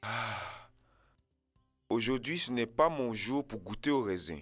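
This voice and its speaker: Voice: mid-range voice, animated, a little slow, a bit bright, husky, faint music behind. An actor voicing a lonely, gluttonous, big-mouthed animal uncle in a French children's cartoon, with a theatrical, plaintive delivery. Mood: woeful.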